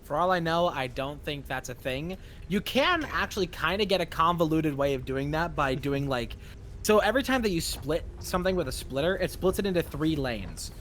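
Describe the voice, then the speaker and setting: A woman co-streaming a game, explaining mechanics in quick, rambling bursts and swearing casually. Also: low-pitched, expressive, slight background noise. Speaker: co-streamer guest. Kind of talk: casual gaming stream chat.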